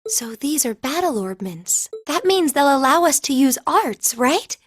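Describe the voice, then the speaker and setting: High-voiced, studious teenage girl. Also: sing-song, unhurried, slight background noise. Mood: curious. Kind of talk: English video game voice acting.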